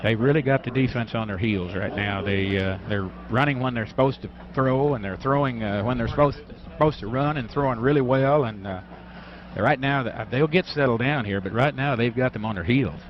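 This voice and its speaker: A man with an American accent and a medium-pitched voice offers color commentary on football. He analyzes the game in a conversational way, over slightly dull radio broadcast sound. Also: fairly quick, a little hiss or hum. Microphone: broadcast booth microphone.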